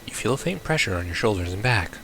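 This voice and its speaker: Man, somewhat low pitch, bright forward tone, wry offhand gamer commentary, reading game text aloud. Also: lively intonation, fast talker, vocal fry, faint music behind, low-quality recording. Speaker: gamer playing a visual novel. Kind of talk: casual let's-play commentary with on-screen text read aloud.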